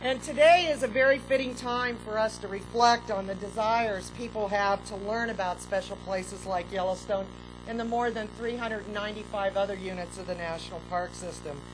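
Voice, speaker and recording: A woman's voice: medium pitch, fairly even intonation, noisy background. Woman giving a gracious outdoor ceremony welcome. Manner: measured, gracious, with occasional fillers. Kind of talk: formal outdoor ceremony speech. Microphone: podium microphone with PA.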